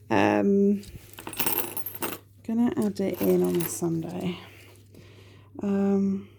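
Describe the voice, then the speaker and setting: A mellow, slightly gravelly woman in her thirties from the UK talks casually to her camera at home. She sniffles now and then and rambles on, filling gaps with ums and correcting herself. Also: medium pitch, slight background noise. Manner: chatty, rambling, with many ums and self-corrections. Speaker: budgeting vlogger. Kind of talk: casual chat to camera while counting cash.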